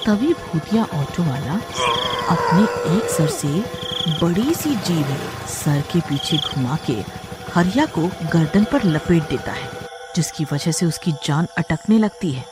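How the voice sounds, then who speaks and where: A man narrating a scripted horror story dramatically, with suspenseful, drawn-out phrasing. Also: fairly high pitch, very animated, over loud music.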